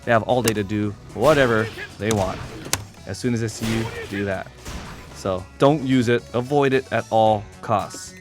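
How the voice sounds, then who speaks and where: A man's voice: fairly low pitch, moderate pace. A man giving a casual, informal video game tutorial, explaining things step by step with blunt asides. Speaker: competitive gamer presenting a character guide. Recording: voice over loud game music. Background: video game music.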